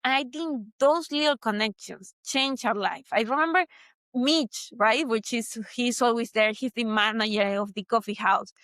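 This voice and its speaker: A woman's voice: fairly high pitch, moderate pace, a bit bright, no echo. A woman from Venezuela with a Venezuelan Spanish accent, hosting a solo motivational talk in an earnest, reflective way that repeats points for emphasis. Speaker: podcast host.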